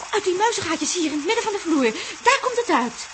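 A woman's voice: extremely high pitch, very animated, fast talker. A woman playing an anxious, caring space traveller with a hesitant, questioning manner, acted in 1960s radio drama style. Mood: alarmed. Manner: hesitant, questioning, stumbling over words. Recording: noisy old 1960s radio recording.

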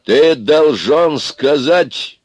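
A man voicing a naive, folksy peacemaker in a comic story, coaxing in simple drawn-out phrases. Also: a little high, animated, no echo, pitched above the performer's own voice, exaggerated voice. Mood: urgent.

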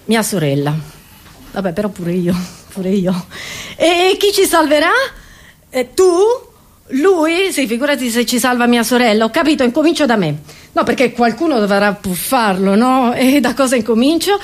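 High-voiced woman doing a comedy monologue. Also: expressive, brisk. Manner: theatrical, self-interrupting, asking rhetorical questions. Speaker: comedian performing a solo monologue. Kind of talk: satirical comedy monologue, conversational.

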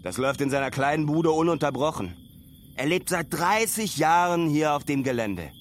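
A man's voice: fairly high pitch, lively intonation, normal speed, slight background noise, close to an everyday voice. An actor playing a reflective male traveller, telling his story colloquially and musingly in a radio play monologue.

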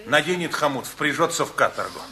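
An actor playing a blunt, henpecked working-class husband in a radio play, grumbling and joking in a colloquial way. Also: male voice, fairly high pitch, lively intonation, fairly quick, pushed and bright, slight background noise.

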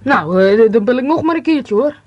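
A woman as flustered, high-voiced grumbling caller. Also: expressive, a little fast, dull audio, noisy background, close to an everyday voice. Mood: exasperated, flustered. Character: grumbling, flustered airport caller ringing for help. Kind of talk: amateur radio play acting.

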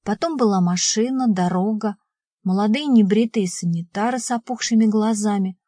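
A woman's voice: mid-range voice, normal speed, dark tone, dry recording. Dry-sounding woman narrating fiction. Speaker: audiobook narrator. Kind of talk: scripted first-person fiction narration.

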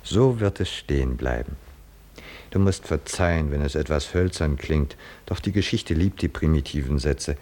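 An actor playing a short-sighted, mocking tavern philosopher in a 1950s German radio drama, with sardonic, deliberate pronouncements. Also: male voice, extremely low pitch, darkish tone. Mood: ironic, musing. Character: short-sighted, mocking male tavern drinker who philosophizes about kings.

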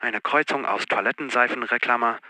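A dossier narrator in a radio drama. His voice is strikingly bright and forceful, recorded very dry. He delivers scripted facts in clipped, dramatic phrases. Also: medium pitch, moderate pace, no room sound. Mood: intrigued. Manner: clipped, dramatic, slips into English. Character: crisp male dossier narrator in a radio play.

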